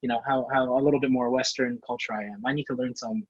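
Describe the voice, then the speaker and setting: A man's voice: medium pitch, fairly even intonation, quick pace, slightly rough, dry recording, lo-fi sound. A man in his thirties from Canada, a portfolio manager giving an online career class, friendly and eager, with many fillers and restarts. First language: English.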